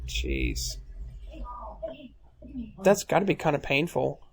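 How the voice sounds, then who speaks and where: A man's voice: a little high, huge pitch swings, slow, vocal fry, husky. A man giving casual, blunt commentary while watching a movie. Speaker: film commentator.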